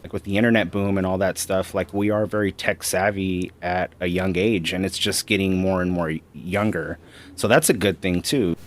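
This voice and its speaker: A man's voice: low-pitched, steady tone, moderate pace. A man in his thirties, chatting casually as an interviewee. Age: 30s.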